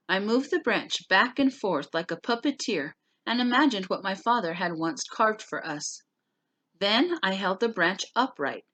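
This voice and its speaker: A woman's voice: mid-range voice, fairly bright tone, no room sound. A woman teacher talking through and reading a class novel aloud for students, explaining things simply. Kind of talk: casual spoken recap and story read-aloud for students.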